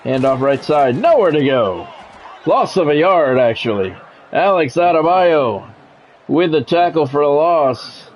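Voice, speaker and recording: A man's voice: a little high, sing-song, a little slow, a little hiss or hum. A middle-aged man giving live play-by-play of a football video game, mixed with offhand, conversational chat and casual swearing.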